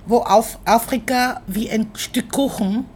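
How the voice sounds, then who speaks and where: A middle-aged woman speaks in a very slow, deliberate way, pausing often to search for words. She sounds thoughtful and reflective as she answers interview questions about her work as an artist. A faint hiss sits under her voice. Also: fairly high pitch. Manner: slow, hesitant, many filler sounds, thoughtful. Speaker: performance artist and activist.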